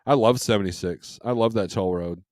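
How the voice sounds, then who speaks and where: A man's voice: fairly low pitch, fairly quick, darkish tone, dry recording, studio quality. A man in his fifties with an American accent, swapping stories in a casual open-forum chat as host. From California, United States. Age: 50s. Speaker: host, truck driver and dispatcher.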